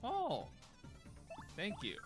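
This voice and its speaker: A man's voice: sits high, wildly swooping pitch, very slow. Chatty man, game music behind. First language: Cantonese. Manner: chatty, thinking out loud. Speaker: gaming streamer. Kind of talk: casual commentary while playing. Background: video game music.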